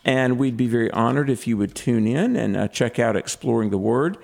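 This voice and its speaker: A man's voice: medium pitch, expressive, moderate pace, vocal fry, a little mellow. A man filling in as host of a talk radio show, interviewing a guest earnestly, with frequent fillers.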